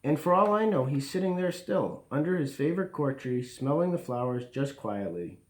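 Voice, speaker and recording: A man reading a storybook aloud for bedtime, expressively, with pauses for emphasis and asides to his listeners. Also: fairly high pitch, animated, roomy sound. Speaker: bedtime story reader for a school community.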